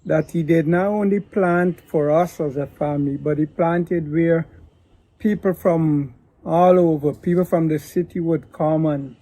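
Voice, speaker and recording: An older man from Guyana, a pastor telling his daughter his life story in a slow, deliberate way. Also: a little high, steady tone, warm tone, no room sound, low-quality recording. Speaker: pastor and father of the host. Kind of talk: reflective family interview.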